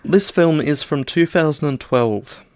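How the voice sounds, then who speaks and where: A man co-hosting a casual film podcast, halting and dryly playful. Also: fairly high pitch, darkish tone, dry recording, clean studio sound. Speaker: podcast co-host.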